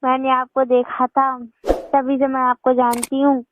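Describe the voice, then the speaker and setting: A woman's voice: high voice, flat delivery, a little slow, background noise. A young woman, speaking insistently and without fluster in a personal phone call. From northern India. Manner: insistent, unflustered. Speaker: phone caller. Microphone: telephone. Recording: tinny phone-line call recording.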